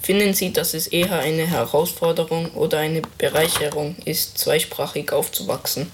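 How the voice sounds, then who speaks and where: A teenage student interviewing a teacher, politely reading out prepared questions. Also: male voice, on the high side, fairly even intonation, moderate pace, background noise.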